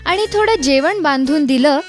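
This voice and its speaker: A female storyteller reads a children's tale in a high voice. It is scripted narration, with soft music playing behind her. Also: lively intonation, moderate pace. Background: background music.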